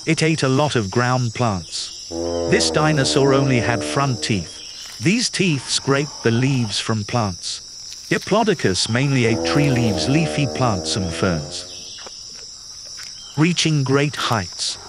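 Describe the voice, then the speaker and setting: A man reading a children's nonfiction picture book aloud in a clear, patient, teacherly way. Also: mid-range voice, big pitch swings, takes it slowly, heavy background noise. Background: background music.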